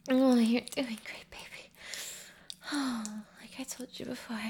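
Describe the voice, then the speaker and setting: A woman voicing an affectionate, ancient horned and tailed demon woman in an intimate audio roleplay, murmuring softly to the listener in a breathy whisper. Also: fairly high pitch, fairly even intonation, slight background noise, lightly acted. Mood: doting. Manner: soft, slow, intimate murmuring.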